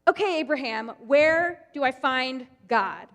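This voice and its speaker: A woman's voice: high voice, expressive, unhurried, fairly bright tone. A woman voicing an earnest young princess who keeps pleading for an answer. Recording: long hall reverb.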